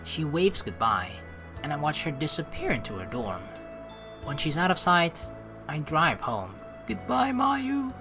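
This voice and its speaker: A young man hosting a game playthrough, reading narration aloud and adding playful, informal commentary in an expressive way. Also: a little high, a little slow. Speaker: let's play host. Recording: voice over game music.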